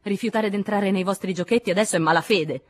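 A woman voicing a fierce, vengeful young woman in an audiobook, shouting and harsh as she demands answers. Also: mid-range voice, fast, faint music behind, pitched above the performer's own voice, close to an everyday voice. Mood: indignant, angry. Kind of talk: dramatic audiobook character acting.

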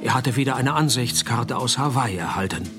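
Actor playing a deadpan, cynical private detective. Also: male voice, medium pitch, moderate pace, over music, close to an everyday voice. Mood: dry narration. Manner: deadpan, laconic, sardonic. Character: male private detective narrating his case, dry and cynical. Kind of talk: radio play narration and dialogue.

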